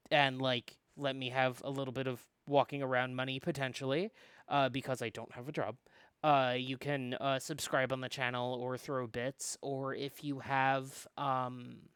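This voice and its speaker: Casual male streamer with a clear voice. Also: mid-range voice, fairly bright tone, dry recording. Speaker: game streamer, host.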